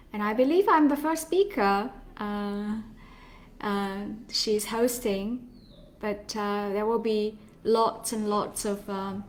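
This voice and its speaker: A woman in her forties, originally from China, talks as a healer in a live online session. She speaks slowly and gently, pausing often and laughing softly now and then. Her voice sits at an ordinary middle pitch. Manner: slow, gentle, with pauses and soft laughs. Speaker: somatic healer giving a live talk.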